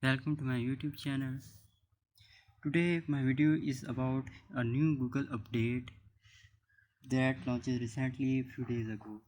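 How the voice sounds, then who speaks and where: A man presenting an unscripted phone app tutorial in halting, piecemeal phrases. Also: mid-range voice, steady tone, a little mellow, rough recording. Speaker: YouTube tech presenter.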